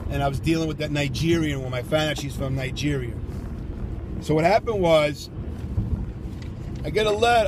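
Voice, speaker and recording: A man's voice: a little high, background noise. A man giving child support advice in a casual, rambling monologue, combative in tone and full of "you know". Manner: combative, repeats himself, heavy with "you know".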